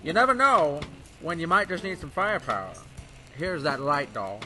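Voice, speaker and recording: An actor playing a brash, slick bootleg gin smuggler in an old-time radio drama, breezy and charismatic. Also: male voice, on the high side, huge pitch swings, normal speed, over music. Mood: breezy, confident.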